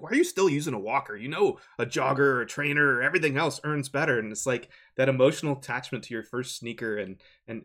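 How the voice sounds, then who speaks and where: A man's voice: mid-range voice, a bit bright. Upbeat male podcast host. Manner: upbeat, quick affirmations. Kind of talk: casual chat.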